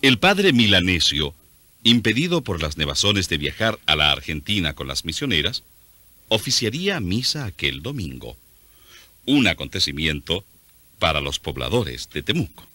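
A man narrating a radio play as a scripted, measured storyteller with deliberate pauses. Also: low-pitched, very animated, sharp tone, dry recording.

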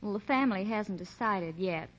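A woman's voice: medium pitch, normal speed, natural voice. Woman playing glum, left-out young gal, 1940s ad skit, dull old recording. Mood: sulky. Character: sulky, lonely young woman in soap ad. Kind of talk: 1940s radio commercial acting. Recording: muffled old radio recording.

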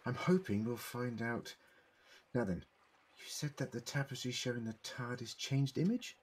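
An actor playing an eccentric, clever time-travelling man in an audio drama, deliberate and formally worded as he explains. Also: mid-range voice, animated, husky. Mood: measured, explaining.

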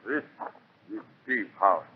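An actor playing a fierce island warrior in a 1940s radio drama, barking commands in broken pidgin. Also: male voice, on the low side, expressive, slow pace. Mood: fierce, commanding. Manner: broken pidgin, barked phrases. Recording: noisy, tinny 1940s radio recording.

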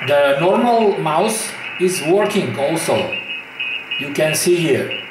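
A man giving a halting demonstration in short, broken phrases, recorded in a room at home. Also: fairly high pitch, expressive. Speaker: hobbyist demonstrating a homemade gadget. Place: small home room at a computer desk. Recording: echoey room with clear hum noise.

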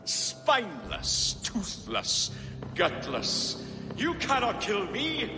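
A man's voice: high-pitched, wildly swooping pitch, very slow, long reverb tail, noisy background, full character voice. A haughty medieval king. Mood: scornful. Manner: haughty, scornful. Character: proud stern male Welsh king, middle-aged.

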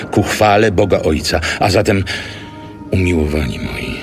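A man giving a solemn scripted reading of a biblical epistle. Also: extremely low pitch. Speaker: reader of scripture. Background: music.

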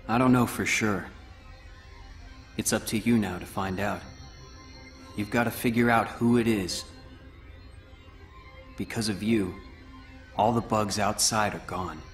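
An actor playing a solemn, self-sacrificing teenage boy hero in a video game, speaking gravely and in measured, earnest phrases. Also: on the low side, soft background music, close to an everyday voice. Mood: grave warning. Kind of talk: video game cutscene voice acting.

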